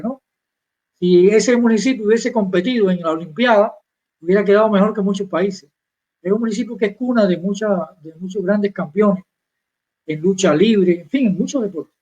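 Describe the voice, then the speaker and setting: A man's voice: high voice, darkish tone, muffled recording. An older man from Cuba with a Cuban Spanish accent, telling about his beginnings as a guest on a live interview, proud and rambling with restarts. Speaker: guest chess master.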